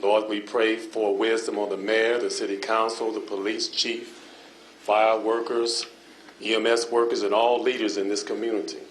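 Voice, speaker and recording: A man, a pastor, leading a spoken prayer at a city council meeting in a measured, solemn cadence. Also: on the low side, level tone, reverberant, a little hiss or hum, low-quality recording. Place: council chamber.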